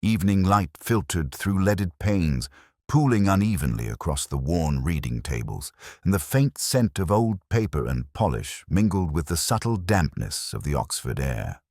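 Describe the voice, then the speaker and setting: A man narrating a scripted first-person detective story in a measured, formal, literary manner. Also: low-pitched, sing-song, darkish tone, no echo, studio quality.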